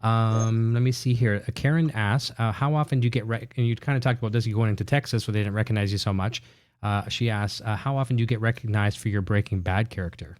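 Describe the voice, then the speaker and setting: Fast-talking male show host. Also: lowish voice, darkish tone. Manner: upbeat, reads viewer comments. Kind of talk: casual interview chat.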